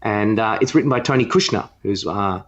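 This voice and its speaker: A man's voice: lowish voice, a little fast, dull audio. A man co-hosting a podcast in casual, rambling chat, giving his opinions freely. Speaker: podcast co-host. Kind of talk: casual film review chat.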